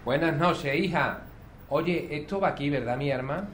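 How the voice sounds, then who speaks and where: A man's voice: on the high side, normal speed, fairly bright tone, a little hiss or hum, close to an everyday voice. A man playing a kindly, curious old grandmother with a Sevillian accent, chatty and affectionate, in an audio drama. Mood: polite, unsure. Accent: Sevillian Andalusian. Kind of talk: naturalistic audio drama dialogue.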